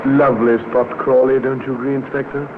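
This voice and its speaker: An actor playing a suave, smug murderer in a radio drama, casual and blandly courteous. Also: male voice, medium pitch, flat delivery, fairly quick, natural voice. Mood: smooth, amused. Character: suave, smug, ever-smiling male murderer. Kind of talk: 1950s radio drama acting. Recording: narrow, clearly noisy vintage radio recording.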